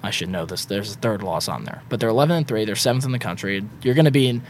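A young man with a slightly raspy voice, talking in a quick, rambling way as a podcast guest. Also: fairly low pitch, a little hiss or hum. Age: young adult. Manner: quick, rambling, stacks filler words. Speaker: guest, student sports broadcaster. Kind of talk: casual podcast chat.

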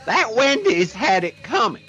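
A man playing an irate, foul-mouthed loudmouth wrestling manager ranting in a comedic audio play. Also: high-pitched, very animated, measured pace, heavily acted. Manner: ranting, profane, indignant. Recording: muffled, music underneath.

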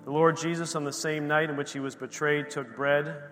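A man officiating communion, reading scripture quietly and reverently in a church. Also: on the high side, little pitch movement, moderate pace. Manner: quiet, reverent murmurs of assent. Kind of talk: scripture reading and prayerful affirmations. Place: church sanctuary. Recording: long reverb, faint music behind.

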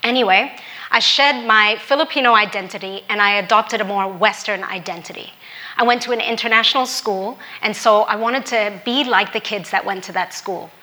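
A woman's voice: medium pitch, normal speed, bright, forceful tone, low-quality recording. A young woman from Hong Kong telling a personal story in an earnest, candid, reflective way.

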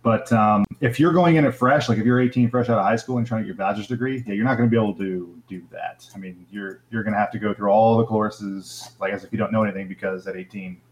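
A man in his thirties calling in to a live show, chatting quickly and casually, his voice often trailing off at the ends of phrases. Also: fairly low pitch, poor audio. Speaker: caller. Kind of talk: casual call-in chat.

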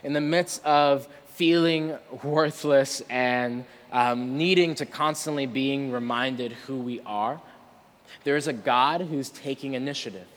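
A man's voice: mid-range voice, unhurried. A man preaching a conversational sermon, earnest and rhetorical, often checking in with his listeners. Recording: reverberant hall with long echo.

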